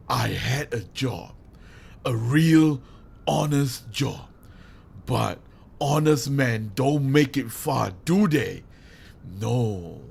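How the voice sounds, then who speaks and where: A man playing a grieving, vengeful villain in an audio drama, with menacing, deliberate delivery and theatrical pauses. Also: mid-range voice, gravelly, a little hiss or hum. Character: grieving, vengeful male villain hijacking a radio broadcast. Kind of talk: dramatic audio roleplay monologue.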